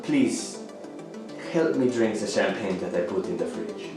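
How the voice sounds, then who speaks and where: An actor playing an affectionate, melancholy housemate in a radio thriller, speaking in a slow, theatrical way. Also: male voice, on the low side, animated. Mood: warm, celebratory. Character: melancholy, affectionate young male housemate, gently teasing. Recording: roomy echo, music underneath.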